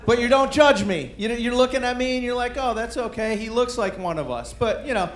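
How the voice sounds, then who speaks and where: A middle-aged man doing a stand-up comedy set on a club stage, deadpan and self-deprecating, with rambling asides. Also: very high-pitched, brisk. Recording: roomy live club with crowd noise. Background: audience murmur and laughter.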